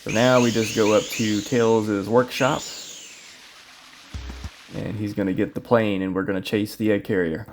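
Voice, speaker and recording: A man casually commentating his own speedrun, explaining routes and bosses in a relaxed, rambling way with plenty of fillers. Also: fairly low pitch, measured pace. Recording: game music playing loudly behind. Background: game music.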